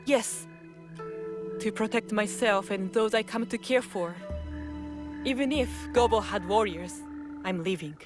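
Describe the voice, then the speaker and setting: A woman's voice: medium pitch, a little slow, pauses often, close to an everyday voice. An actress playing a guilt-ridden but resolute young village woman in a game drama, confiding earnestly and hesitantly. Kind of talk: dramatic game cutscene acting. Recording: prominent music behind the voice.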